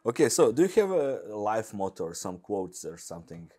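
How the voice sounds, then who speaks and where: Low-voiced man asking in non-native English. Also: sing-song, brisk, dark tone. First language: Croatian. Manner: friendly questioning in non-native English, laughs along. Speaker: podcast host. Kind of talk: casual interview questions.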